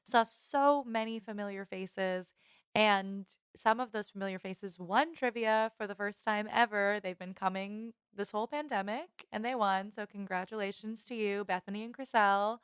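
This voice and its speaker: A woman's voice: medium pitch, normal speed, clear, resonant tone, no echo. A woman co-hosting a podcast, talking in a casual, chatty style. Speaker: podcast co-host. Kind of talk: casual podcast chat and sign-off.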